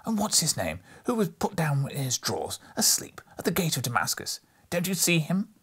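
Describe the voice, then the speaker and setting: A man voicing an old miserly man softened by memories, with a husky voice, swinging between laughing and crying and dropping into whispers. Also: low-pitched, flat delivery, brisk, full character voice. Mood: ecstatic. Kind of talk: storybook reading.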